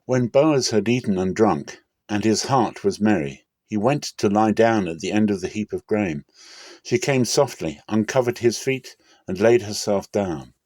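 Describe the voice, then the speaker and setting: Lowish-voiced man narrating scripture, dry sound. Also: a little slow, a little mellow, dry recording. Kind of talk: dramatic scripted Bible narration.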